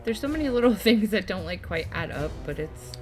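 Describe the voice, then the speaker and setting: A woman hosting a gaming video, giving casual commentary in quiet, halting asides to viewers. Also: mid-range voice, animated, faint music behind. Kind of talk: casual let's play commentary.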